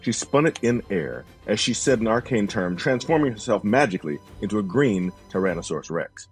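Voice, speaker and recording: Low, very expressive male narrator. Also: brisk, soft background music. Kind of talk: dramatic scripted fantasy narration.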